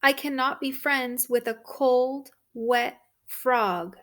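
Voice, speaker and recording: A woman's voice: high voice, slow pace, fairly bright tone. A woman voicing a young, spoiled storybook princess with childlike, emphatic protests. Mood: reluctant, disgusted. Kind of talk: children's storybook read-aloud.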